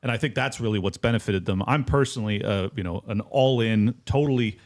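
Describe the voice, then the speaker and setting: A man's voice: on the low side, a little fast, a little mellow. A man in a political discussion, speaking thoughtfully and searching for words.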